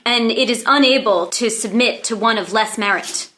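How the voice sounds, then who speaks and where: A woman's voice: on the high side, moderate pace, bright, forceful tone. A woman playing a proud young noblewoman in disguise, formal and earnest in a play read-through. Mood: solemn resolve.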